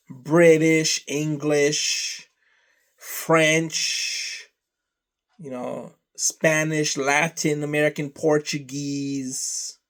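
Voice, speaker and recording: A man whose first language is Serbian, talking slowly and rambling through a casual vlog, trailing off into long lists. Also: fairly high pitch. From Canada. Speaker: vlogger.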